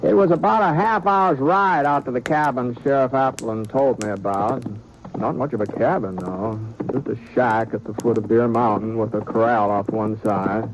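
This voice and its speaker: A man's voice: mid-range voice, normal speed, over music, natural voice, low-quality recording. A man playing a folksy drawling gunman. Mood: narrating. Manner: drawling, hesitant, folksy, stammering. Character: easygoing drifting gunman narrator. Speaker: narrator and lead. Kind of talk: 1950s radio drama acting.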